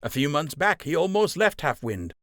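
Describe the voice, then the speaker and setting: A friendly young rabbit soldier , voiced by a man, talks casually and frankly with a mischievous streak. The storybook voice sits clearly higher than the narration, dry and close. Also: very animated, moderate pace, a bit bright, dry recording. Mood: frank, confiding. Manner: casual, candid.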